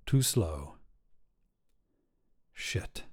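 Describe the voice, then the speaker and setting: A man narrating a scripted short story as a podcast reader, with a dramatic delivery. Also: extremely slow.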